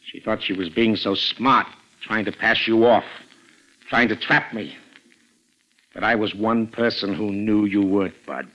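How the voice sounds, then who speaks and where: A man playing a suspicious middle-aged stepfather in a 1940s radio drama, falsely genial and quietly menacing, probing with deliberate pauses. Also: lowish voice, low-quality recording. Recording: vintage 1940s radio recording.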